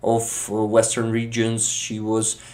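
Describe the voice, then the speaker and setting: Slow, halting Ukrainian-accented man. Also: lowish voice, completely flat delivery, a little mellow. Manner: slow, halting, many fillers and restarts. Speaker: guest. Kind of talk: casual interview conversation.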